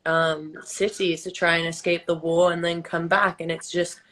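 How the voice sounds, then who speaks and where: Low-voiced woman in casual livestream chat. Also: little pitch movement, moderate pace, a bit bright, poor audio. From England. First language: Albanian. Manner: chatty, many fillers and restarts. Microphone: phone on a video livestream.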